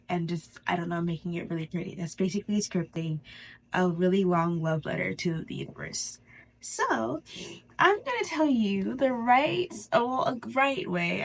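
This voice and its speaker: A woman with a stuffy, congested nose hosting a casual, chatty spiritual tutorial, informal and halting with pauses for breath. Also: mid-range voice. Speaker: host of a spirituality channel.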